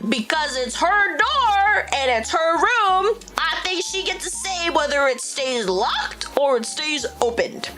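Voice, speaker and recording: Woman, VTuber streamer, casual chat, animated, exclaims and swears, faint music behind. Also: high-pitched, slow, hardly any pauses. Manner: chatty, exclaims and swears freely, laughs often. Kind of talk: casual livestream chat reading stories aloud.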